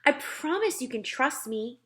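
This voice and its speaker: A woman reading aloud puts on a little storybook girl with a high voice. She is earnest and curious, pressing her questions with a persistent, pleading tone. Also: animated, unhurried, a bit bright. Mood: coaxing. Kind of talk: children's storybook read-aloud.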